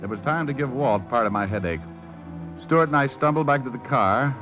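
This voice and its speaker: An actor playing a wry, hard-boiled private detective in an old radio drama, with sardonic, clipped delivery. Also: male voice, medium pitch, brisk, close to an everyday voice. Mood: grim, wry. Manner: sardonic, clipped tough-guy wisecracks. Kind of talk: 1940s-50s radio detective drama acting. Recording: muffled old radio recording, music behind.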